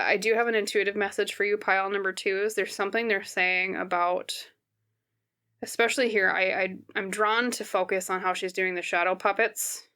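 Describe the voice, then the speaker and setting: A woman with a slightly scratchy voice giving a casual, conversational tarot reading to her viewers, explaining gently and often checking in. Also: medium pitch, smooth. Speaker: tarot reader, host.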